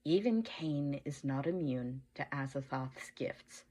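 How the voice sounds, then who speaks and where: A woman's voice: extremely low pitch, expressive, slow, dark tone. A woman presenting an analytical fan theory as a prepared listener message.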